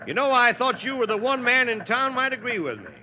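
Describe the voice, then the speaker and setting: An actor playing a stubborn, harried small-town mayor in a blustering 1940s radio drama style. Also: male voice, extremely high pitch, sing-song, fairly quick, pushed and bright, muffled recording, heavily acted. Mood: argumentative. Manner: blustering, exclamatory, stammering when flustered. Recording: noisy 1940s radio broadcast recording.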